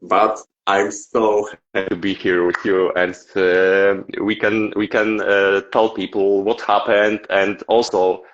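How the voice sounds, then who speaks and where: Hoarse low-voiced man, slow and halting. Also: level tone, fairly bright tone, highs cut off. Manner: halting, repeats words, restarts. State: losing his voice, sore throat. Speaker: live stream host, meme creator. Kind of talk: casual live stream chat. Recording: echoey room.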